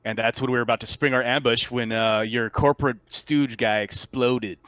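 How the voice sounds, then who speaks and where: A man playing a shaken marine soldier in a tabletop roleplay, giving a halting, stammering account of a disastrous mission. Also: medium pitch, fairly even intonation, fairly bright tone, clean tone, dry recording, close to an everyday voice. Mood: incredulous. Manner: halting, stammering, incredulous testimony. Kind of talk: improvised tabletop roleplay monologue.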